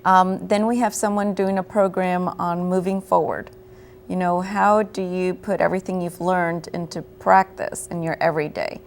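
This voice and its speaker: Lowish-voiced woman explaining a program. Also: flat delivery, moderate pace, slight background noise. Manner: clear, explanatory, gives examples. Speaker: program coordinator guest. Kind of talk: explaining in an interview. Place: local TV studio.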